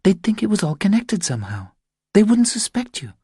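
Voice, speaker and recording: A man voicing an earnest, scheming young woman with a hushed, insistent manner in a thriller audiobook. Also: high voice, big pitch swings, fairly quick, breathy edge, dry recording, clean studio sound, pitched above the performer's own voice, heavily acted. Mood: urgent, persuasive.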